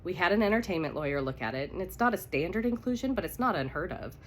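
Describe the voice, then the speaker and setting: A woman's voice: lowish voice, expressive, quick pace, a little hiss or hum, close to an everyday voice. A woman with a cold voicing an anxious, stubborn jilted bride in a romance novel reading. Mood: frustrated. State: congested with a cold.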